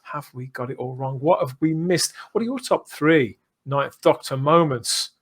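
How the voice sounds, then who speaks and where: A man's voice: on the high side, moderate pace, husky. A man hosting a podcast, chatting enthusiastically with effusive, theatrical turns of phrase. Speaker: podcast host. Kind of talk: enthusiastic podcast chat and sign-off.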